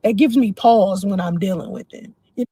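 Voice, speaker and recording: A woman's voice: medium pitch, moderate pace, dark tone, slightly rough, no echo. A woman in her forties from the Washington, D.C. area, hosting a casual livestream and speaking her mind while answering viewer comments. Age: 40s. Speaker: livestream host.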